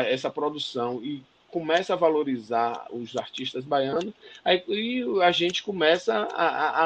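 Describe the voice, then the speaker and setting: A man with a Bahian Brazilian accent answers interview questions with fervor, his voice rising on the points he stresses. He keeps tagging his sentences with little questions and stumbles into restarts as his thoughts run ahead. The audio sounds a touch muffled. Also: medium pitch. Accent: Bahian Brazilian Portuguese. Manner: passionate, emphatic, frequent "né" tags and restarts. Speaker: interview guest. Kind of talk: conversational interview.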